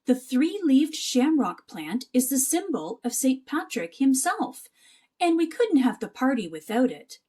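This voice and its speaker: A woman's voice: sits high, very animated, unhurried, mellow, slightly rough, exaggerated voice. A woman voicing a hospitable, kindly aunt mouse in a storybook, welcoming and explaining things.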